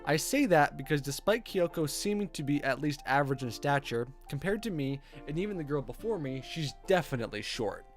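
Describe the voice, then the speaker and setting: A man's voice: a little high, expressive, a little fast, hardly any pauses. Male game streamer reading aloud, music behind. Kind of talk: reading visual novel narration aloud with casual commentary.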